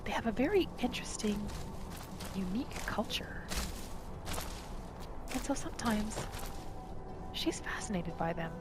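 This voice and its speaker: A woman narrating a hunter's day in a calm, soft third-person voice, sometimes dropping into a whisper, as an ASMR-style gameplay roleplay. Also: medium pitch, a little slow, long pauses. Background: loud game music.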